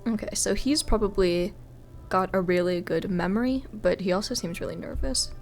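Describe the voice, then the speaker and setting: A woman's voice: medium pitch, moderate pace, faint music behind. A woman gaming creator giving informal, reactive let's play commentary.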